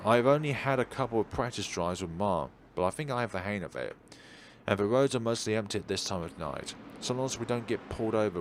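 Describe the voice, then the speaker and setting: A man's voice: fairly low pitch, a little hiss or hum. A man reading a visual novel aloud as narration, with casual side remarks and the odd stumble he corrects.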